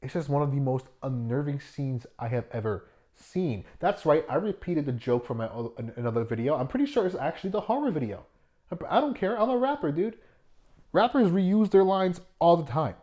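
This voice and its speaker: A man narrating a video essay in an informal, jokey way, with animated asides and some swearing. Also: a little high, brisk. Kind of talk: scripted video essay commentary with joking asides. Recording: music bed behind the voice. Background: background music.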